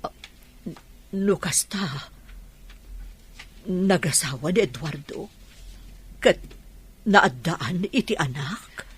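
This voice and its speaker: A woman playing a tender, hesitant older trainer and long-lost sweetheart in a Philippine radio drama, with a husky voice. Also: on the low side, lively intonation, takes it slowly, pauses often, full character voice. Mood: hesitant, curious.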